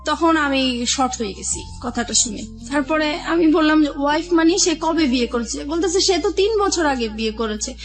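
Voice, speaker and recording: A woman with a high-pitched voice and a Bangladeshi accent shares a personal story as a guest. She speaks in a halting, confessional manner. Music plays softly behind her. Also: expressive. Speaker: guest telling own life story. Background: background music.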